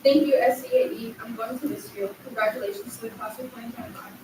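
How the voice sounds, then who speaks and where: A woman's voice: a little high, fairly even intonation, fast talker. A young woman giving an earnest, heartfelt graduation speech, reading it aloud from a podium. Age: young adult. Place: large echoey hall at a graduation ceremony. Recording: echoey hall, distant mic, faint noise.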